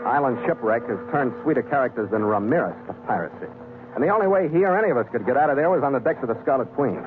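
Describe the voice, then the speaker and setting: A man's voice: medium pitch, animated, rapid-fire, background noise, lightly acted. A man playing a tough, wary sea captain who narrates and acts in a 1940s radio adventure in a terse, wry manner. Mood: tense, determined. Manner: terse, hard-boiled, wry. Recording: narrow old 1940s radio recording, music.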